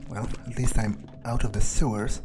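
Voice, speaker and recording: A man's voice: medium pitch, level tone, normal speed, natural voice. A man role-playing a cynical, jittery male vampire, thinking aloud in a hesitant, foul-mouthed mutter during a video game playthrough. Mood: wary, resigned. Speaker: gamer playing in character. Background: game music.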